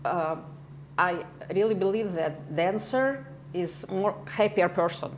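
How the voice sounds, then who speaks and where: Female talk-show guest from Lithuania, native Russian speaker, Russian accent, keen manner, slightly low voice, faint noise behind. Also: slow. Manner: enthusiastic. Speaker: dance club member and guest. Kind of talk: interview chat.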